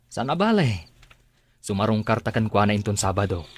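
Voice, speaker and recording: An actor playing an older father in a radio drama, speaking softly with a husky voice. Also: on the low side, sing-song, normal speed. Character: older father worried about his child. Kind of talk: Ilocano radio drama acting.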